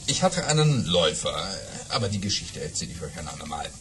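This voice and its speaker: An actor playing a boastful baron who spins tall tales with dramatic pauses and exclamations in an audio play. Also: male voice, deep voice, big pitch swings. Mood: suspenseful storytelling. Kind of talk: German audio-play storytelling.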